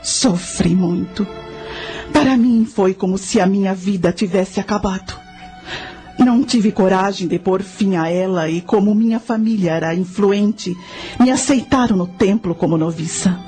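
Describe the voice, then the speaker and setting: Woman acting a solemn Carthaginian high priestess over music. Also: unhurried, warm tone, breathy edge. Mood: solemn, sorrowful. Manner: grave, formal storytelling. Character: solemn high priestess of ancient Carthage, recounting her past. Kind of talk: radio drama acting. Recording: music under the voice.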